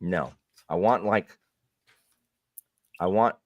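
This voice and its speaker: A man hosting a livestream, chatting casually in a lively, rambling way. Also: deep voice, drawn-out words, no room sound. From Canada. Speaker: streamer host.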